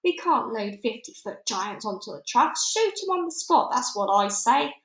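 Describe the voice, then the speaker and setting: A woman's voice: fairly high pitch, big pitch swings, normal speed, roomy sound. A woman voicing a blustering, hot-tempered male army general, indignant and exclamatory.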